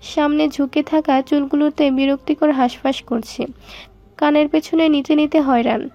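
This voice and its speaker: High, even-toned woman narrating a story. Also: moderate pace, dull audio.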